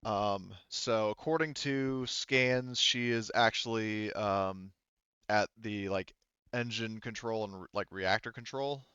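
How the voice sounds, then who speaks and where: A man speaking off the cuff as game master of a tabletop game, haltingly and thinking aloud. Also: fairly low pitch, fairly even intonation, pushed and bright, clean tone, no room sound, lo-fi sound. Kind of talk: unscripted tabletop game narration.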